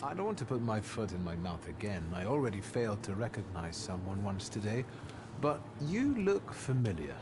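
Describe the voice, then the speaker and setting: An easygoing young nobleman in a video game, his voice bouncing lively up and down. He sounds warm and apologetic. The audio carries clear background noise and sounds a little distant. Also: lowish voice, moderate pace, hardly any pauses. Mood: friendly.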